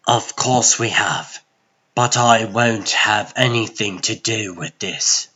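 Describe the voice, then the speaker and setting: A man voicing a weary, resolute sorcerer in a comic dub, speaking with husky, restrained firmness. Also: fairly low pitch, sharp tone, full character voice. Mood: quietly resolute. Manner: restrained, measured, firm. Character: weary, resolute sorcerer standing up to an old friend. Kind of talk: dramatic comic-dub acting.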